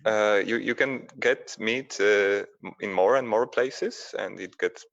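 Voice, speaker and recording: A low-voiced man answers viewer questions on a live stream. His gravelly voice comes in halting, plain-spoken phrases full of fillers. The stream audio sounds muffled and lo-fi. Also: a bit bright. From Poland. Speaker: museum staff, sailor.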